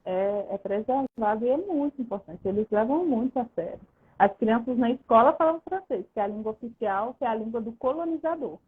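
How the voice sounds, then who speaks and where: A woman's voice: on the high side, animated, a little fast, slightly rough. A Brazilian woman, a guest on a video call, telling her story at length with many restarts. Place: abroad, far from the host. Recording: tinny phone-like line.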